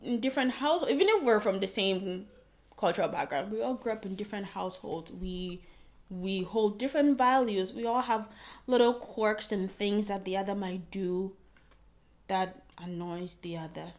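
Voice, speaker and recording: A woman from Ghana co-hosting a casual advice chat, animated and teasing, laughing often. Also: mid-range voice, normal speed. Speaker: co-host.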